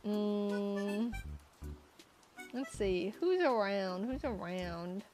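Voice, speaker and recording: Young woman, streamer, casual chat, giggly, rambling, scratchy voice, mid pitch, faint background music. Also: drawn-out words, a little mellow. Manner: rambling, giggly, lots of fillers and restarts. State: voice getting scratchy.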